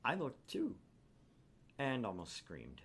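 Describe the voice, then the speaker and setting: A man's voice: mid-range voice, wildly swooping pitch, slow, a little hiss or hum. A man hosting a gaming channel, narrating a visual novel aloud with theatrical, irreverent casual asides.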